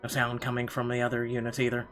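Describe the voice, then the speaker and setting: A male let's player with a medium-pitched voice reads game narration aloud. He drops in wry, sardonic asides in a casual way, with soft game music underneath. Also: completely flat delivery, fast. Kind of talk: reading a visual novel aloud with casual commentary.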